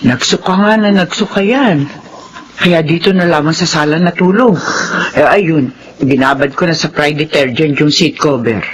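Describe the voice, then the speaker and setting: A woman's voice: low-pitched, normal speed, close to an everyday voice, low-quality recording. A woman playing a matter-of-fact, grumbling older household helper in a Philippine radio drama. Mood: grumbling, resigned. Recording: clear background noise.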